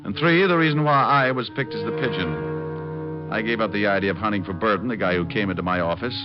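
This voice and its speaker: A man's voice: lowish voice, a little fast, natural voice. A man playing a cocky, tough private detective in a 1940s radio drama, with a wry, clipped delivery. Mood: wry, narrating. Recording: muffled old-time radio recording, music behind.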